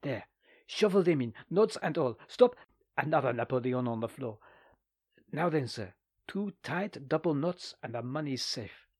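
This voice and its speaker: A man's voice: mid-range voice, expressive, measured pace, darkish tone, slightly rough, dry recording, studio quality. A man voicing a cunning old male French ex-soldier in a storybook reading, coaxing and falsely friendly. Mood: bustling.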